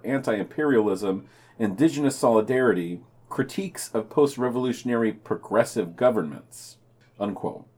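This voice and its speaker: A man's voice: fairly low pitch, a little mellow. A man co-hosting a podcast, reading scripted news items and bantering casually. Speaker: podcast co-host and news reader.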